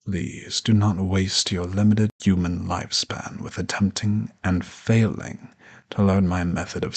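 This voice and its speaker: Audio roleplay: male dragon professor, man acting, ancient and condescending, deep gravelly growl, pompous formal diction. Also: heavily acted. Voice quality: gravelly, husky low voice. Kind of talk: scripted audio roleplay monologue addressed to the listener.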